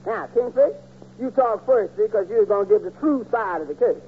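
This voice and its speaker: An actor playing a sly, pompous, bribe-taking arbitrator in 1940s radio comedy style. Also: male voice, high-pitched, lively intonation, normal speed. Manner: pompous mock-legal talk. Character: crooked, bribe-taking male arbitrator, mock-solemn and sly. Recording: scratchy, narrow old radio recording, hum.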